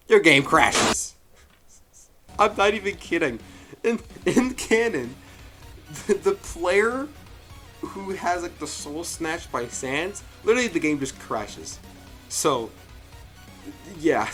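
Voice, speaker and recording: A man giving a casual, jokey review commentary as the host, with animated delivery and frequent asides. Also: medium pitch. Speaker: host of a fan story review show. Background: music.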